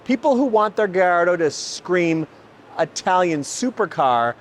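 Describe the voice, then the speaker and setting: A man's voice: sits high, animated, unhurried, slight background noise. A man hosting an automotive video, presenting and explaining in an emphatic, enthusiastic way. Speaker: host, exhaust shop builder. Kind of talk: presenting car exhaust comparison, part scripted, part explanatory.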